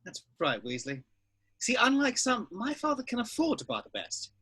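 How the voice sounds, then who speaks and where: An actor as a husky, sneering schoolboy bully. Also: medium pitch, huge pitch swings, moderate pace, bright, forceful tone, heavily acted. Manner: snide, contemptuous. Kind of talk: amateur script table read.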